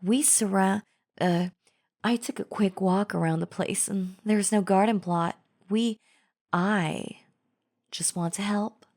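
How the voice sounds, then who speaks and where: A woman's voice: medium pitch, fairly even intonation, takes it slowly, a little mellow. A woman playing a chatty female zombie in an audio roleplay, casually coaxing the listener with false friendliness and a sly, wheedling drawl. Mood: coaxing, falsely friendly. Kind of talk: scripted audio roleplay acting, addressing the listener.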